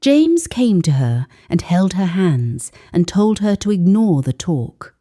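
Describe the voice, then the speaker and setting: A woman narrating a scripted folktale as a storyteller, in a measured, deliberate and dramatic way with weighted pauses. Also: fairly low pitch, very animated, dark tone.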